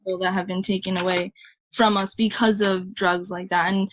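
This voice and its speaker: A woman in her twenties, a musician guest on a podcast, talking earnestly and softly. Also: medium pitch, flat delivery, normal speed, slight background noise. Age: 20s. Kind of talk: casual interview chat.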